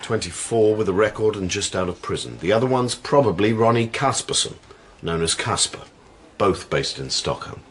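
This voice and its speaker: An actor playing a grumbling, weary police detective with a blunt, sardonic manner in a radio drama. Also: male voice, fairly low pitch, lively intonation, normal speed, natural voice. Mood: dry, businesslike. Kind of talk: naturalistic radio drama dialogue.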